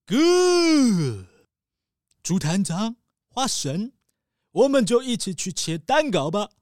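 Brief: theatrical rich tycoon father, acted by a performer, slow and exclaiming, soft music bed. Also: mid-range voice, very animated, dry recording, studio quality. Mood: jovial. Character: rich excitable middle-aged male tycoon father. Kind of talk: children's audio drama.

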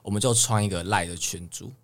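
A man's voice: lowish voice, fairly quick. A young man with a Taiwanese Mandarin accent, chatting casually as an idol trainee guest. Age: young adult. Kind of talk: casual podcast chat.

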